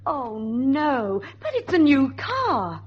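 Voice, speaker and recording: Anxious, high-voiced woman in muffled radio drama. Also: sing-song, takes it slowly, heavily acted. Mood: anxious, relieved. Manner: urgent, exasperated, emphatic. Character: Mary, anxious, impatient younger woman worrying over her man. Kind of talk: old-time radio drama acting. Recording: muffled, noisy old radio recording with hum.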